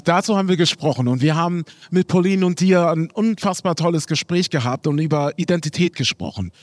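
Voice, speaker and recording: A man's voice: on the high side, a little fast, no echo. Polite male moderator, dry close sound. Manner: polite, thanks guests and asks questions. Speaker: podcast host and moderator. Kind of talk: moderating a live panel. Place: live event at an embassy.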